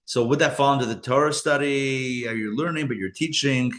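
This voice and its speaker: A man's voice: mid-range voice, a little fast, fairly bright tone. A man, a rabbi teaching a live Torah class to an audience, talking casually, often chuckling and restarting as he fields questions. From born in Israel, raised elsewhere.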